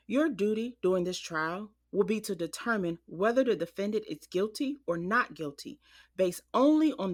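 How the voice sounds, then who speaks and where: An actress plays a female judge in a courtroom audio drama. She has a medium pitch and a formal, measured delivery, orderly and official. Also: lightly acted.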